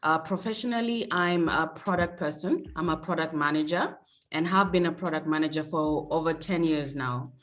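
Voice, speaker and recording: A woman in her thirties from Kenya, chatting relaxed and easily as a guest on a video call interview. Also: sits low, normal speed.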